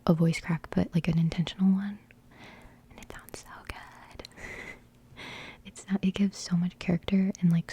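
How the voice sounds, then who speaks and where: A young woman whispering a relaxed music reaction in ASMR style, giggling softly and rambling with plenty of fillers. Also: lowish voice, a little hiss or hum. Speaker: ASMR creator reviewing music.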